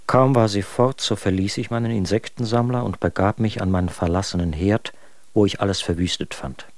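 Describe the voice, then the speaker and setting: A man plays an exasperated writer reading out his letters in a radio drama. His voice sits fairly low in a very dry studio sound, and he recounts his troubles with articulate, sardonic scorn. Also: steady tone, fairly quick, no echo, close to an everyday voice. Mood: scornful, sardonic.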